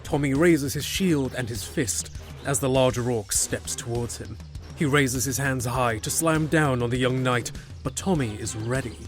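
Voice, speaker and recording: A man's voice: mid-range voice, normal speed, faint music behind. A man narrating a scripted fantasy audio drama in a descriptive storytelling manner.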